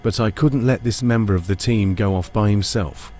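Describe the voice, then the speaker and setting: Lowish-voiced man narrating a dramatised audiobook. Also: normal speed, warm tone, slight background noise. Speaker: narrator and lead character.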